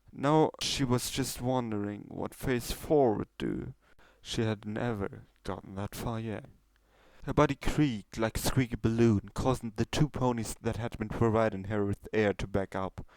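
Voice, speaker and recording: A man's voice: fairly low pitch, clean tone, dry recording, low-quality recording. A man reading a scripted story aloud as its narrator. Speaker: story reader.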